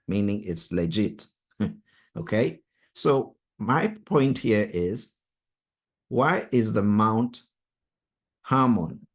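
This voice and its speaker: Slow, deep-voiced Swahili-speaking man teaching. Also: breathy edge. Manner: earnest, emphatic, deliberate. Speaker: study group leader. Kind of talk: online Bible study teaching. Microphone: video call.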